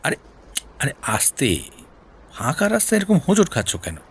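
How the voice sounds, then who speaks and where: An actor playing a shrewd, persistent senior detective in an audio drama, questioning in a deliberate, probing way. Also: male voice, on the high side, wildly swooping pitch, husky, exaggerated voice. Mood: curious. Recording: music bed behind voice.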